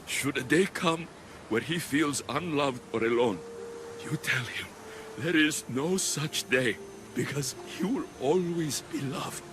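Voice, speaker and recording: Slow, heartfelt warrior father played by a man. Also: fairly high pitch, noisy background, close to an everyday voice. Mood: emotional, loving. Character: battle-hardened Greek warrior, grieving, tender, devoted young father. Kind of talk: dramatic video game acting.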